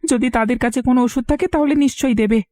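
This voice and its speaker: Woman acting an earnest high-voiced village boy. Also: quick pace, warm tone, dry recording, natural voice. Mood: concerned. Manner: earnest, pleading. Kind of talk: Bengali cartoon voice acting.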